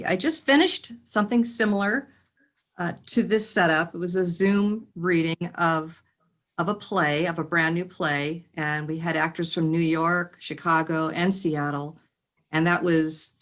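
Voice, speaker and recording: An actress introducing herself casually on a video call. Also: female voice, low-pitched, a bit bright. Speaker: actress, voiceover artist and singer.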